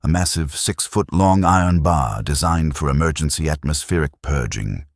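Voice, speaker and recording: A man reading a science fiction audiobook with grave drama. His voice is extremely deep and husky, with plenty of movement in pitch. The sound is close and dry. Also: expressive, moderate pace, no echo. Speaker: narrator. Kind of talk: scripted audiobook narration.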